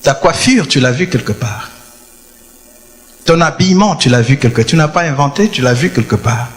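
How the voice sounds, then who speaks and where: A man's voice: medium pitch, lively intonation, normal speed. A man preaching a sermon in a church, stressing his points and repeating key phrases. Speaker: pastor. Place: church hall. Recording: long hall reverb.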